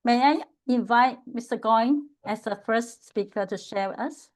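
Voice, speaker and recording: A woman moderates a club panel, introducing each speaker and summing up what they said. She speaks slowly and evenly in a fairly high voice.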